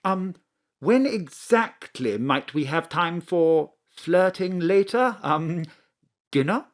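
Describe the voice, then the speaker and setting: A woman's voice: lowish voice, animated, takes it slowly. A woman playing a jolly, posh, awkwardly flirtatious male diplomat in a comic audio drama. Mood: awkward, smitten.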